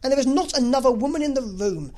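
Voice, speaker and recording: A woman voicing a proud, cold and fastidious young gentleman in a novel reading, with a formal, disdainful manner. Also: high voice, lively intonation, voice pushed up. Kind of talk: classic novel audiobook character reading.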